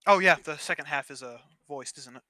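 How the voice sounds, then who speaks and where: A man's voice: a little high, fairly quick, noisy background. A man chatting casually during gameplay, telling stories in a blunt way with some swearing. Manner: blunt, profane, storytelling. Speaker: gaming channel co-player.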